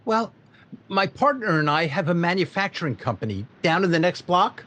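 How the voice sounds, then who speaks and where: Man doing shaky stammering businessman in a 40s radio cop show, high jumpy voice, hiss in the background. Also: very animated, moderate pace, fairly bright tone. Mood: shaken. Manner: hesitant, stammering. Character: shaken middle-aged male factory owner robbed of payroll. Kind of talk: 1940s radio drama acting.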